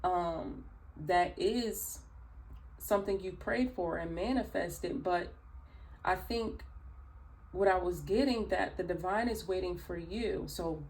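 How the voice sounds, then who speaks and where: A woman with a raspy early-morning voice, chatting through a tarot reading with many fillers and asides. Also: lowish voice, slow pace, a little mellow, low-quality recording. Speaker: tarot reader. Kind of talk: casual spoken tarot reading.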